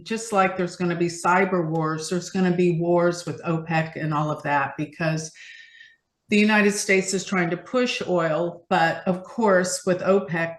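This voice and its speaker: Female guest expert, lower register, conversational forecasting commentary, roomy echoing video-call sound. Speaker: guest astrologer and market forecaster.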